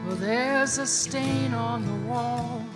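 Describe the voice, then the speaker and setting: A woman's voice: on the high side, steady tone, takes it slowly. A woman singer-songwriter singing live with acoustic guitar in a small venue, her throat dry and her voice giving out. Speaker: singer-songwriter, featured performer.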